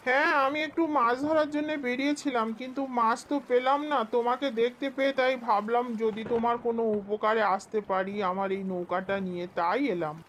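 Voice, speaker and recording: A woman's voice: a little high, steady tone, a little fast, few pauses, slight background noise, natural voice. A woman voicing a helpful, earnest male village fisherman in a cartoon. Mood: friendly, obliging. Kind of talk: cartoon folk-tale voice acting.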